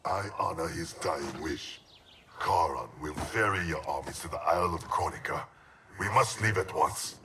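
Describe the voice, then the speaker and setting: An actor playing a grave, honour-bound undead ninja warrior in a video game, speaking in a solemn, formal and measured way. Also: male voice, low voice, slight background noise. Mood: earnest. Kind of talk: video game cutscene acting. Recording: loud game music behind. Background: loud cinematic music.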